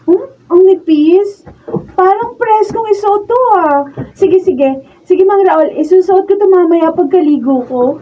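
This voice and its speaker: A woman's voice: very high voice, unhurried. A woman acting a naive, gushing teenage girl delighted by gifts in a radio drama. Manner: gushing, girlish. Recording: distant muffled mics, noisy raw studio video.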